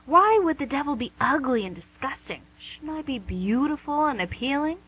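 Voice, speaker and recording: A woman's voice: sits high, big pitch swings, normal speed, fairly bright tone. An actress playing the Devil as a playful, charming, smug female boss in a comic radio play, chatty and theatrical. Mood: boastful. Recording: noisy amateur recording with hum.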